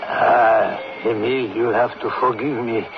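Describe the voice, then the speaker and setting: An actor playing an apologetic French army intelligence chief in a radio drama, speaking formally. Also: male voice, medium pitch, fairly even intonation, unhurried, music in the background, close to an everyday voice. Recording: muffled, noisy old radio recording.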